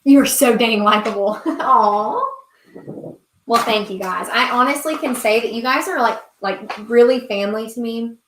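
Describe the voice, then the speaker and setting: A woman's voice: on the high side, unhurried, noisy background. A young woman with a Southern US accent chats casually while working on crafts, gushing and thanking her viewers on a live stream. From South Carolina, US. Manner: chatty and gushing, reading viewer comments aloud. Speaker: crafting channel host. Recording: roomy echo.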